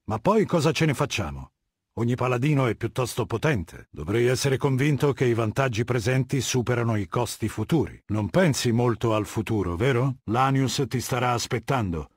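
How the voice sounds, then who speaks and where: An actor voicing a cold, haughty middle-aged warlord dictator in a video game, lecturing in a measured, commanding and disdainful way. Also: male voice, medium pitch, lively intonation, slightly rough, dry recording, clean studio sound. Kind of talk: video game character dialogue.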